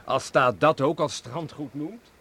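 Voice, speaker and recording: An actor playing a respectful, quietly teasing young farmer and betrothed son in a radio drama. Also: male voice, a little high, a little slow, creaky, breathy edge, full character voice. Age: young adult. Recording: noisy old radio play recording.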